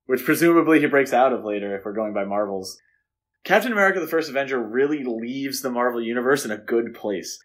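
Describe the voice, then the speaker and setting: A man's voice: mid-range voice, lively intonation, fast. A man co-hosting a podcast, chatting casually about films with conversational, wry asides. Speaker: podcast co-host. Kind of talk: casual film discussion.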